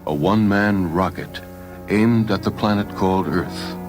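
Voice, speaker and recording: A man's voice: low-pitched, a little slow. A man reading dramatic, scripted science fiction narration as a storyteller. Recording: music behind the voice.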